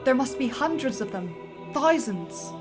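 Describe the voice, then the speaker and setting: A woman's voice: fairly high pitch, big pitch swings, unhurried. A woman narrating script directions in a dramatic reading, building up to the action beats, with music underneath.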